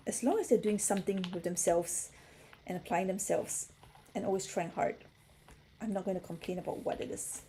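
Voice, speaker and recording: Mellow-voiced woman chatting on a livestream. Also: medium pitch, a little hiss or hum, poor audio. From Singapore. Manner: chatty, reads and answers chat comments. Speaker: puzzle livestream host. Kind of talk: casual livestream chat.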